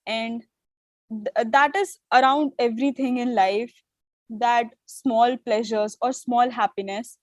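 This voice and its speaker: A woman's voice: a little high, fairly even intonation, slow pace, no room sound. A young adult woman whose first language is Hindi, hosting an interview on a video call, affirming and thoughtful with hesitant phrasing. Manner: warm affirming, hesitant phrasing with many fillers. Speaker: host.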